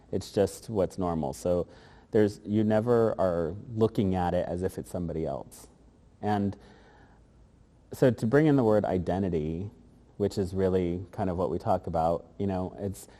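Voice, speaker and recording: A man with a low, dark and mellow voice talks through his answers in a thoughtful, meandering way, often restarting his sentences. He is recorded in a gallery space where a long reverb hangs behind his words. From grew up in Germany, Korea and the United States. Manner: thoughtful, rambling with fillers and restarts. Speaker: interviewed artist. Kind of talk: casual interview answers.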